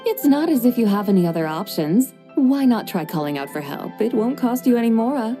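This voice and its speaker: A woman voicing a composed, gently teasing young woman with a secret in a game cutscene. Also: on the high side, big pitch swings, fairly quick. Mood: serene. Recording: soft game music underneath.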